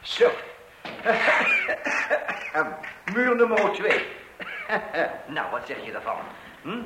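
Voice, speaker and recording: High-voiced, swooping, cocky husband. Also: male voice, wildly swooping pitch, very slow, full character voice. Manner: self-satisfied, blustering, repeats himself. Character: cocky, excitable amateur plasterer husband and father. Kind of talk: radio play acting. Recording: noisy, echoey old radio recording.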